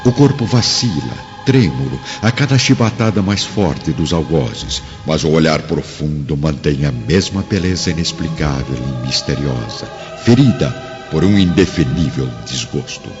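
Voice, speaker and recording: A male narrator with a low voice tells a dramatic story solemnly and in literary phrasing. The recording is dull, with clear music behind him. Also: animated, a little slow, few pauses.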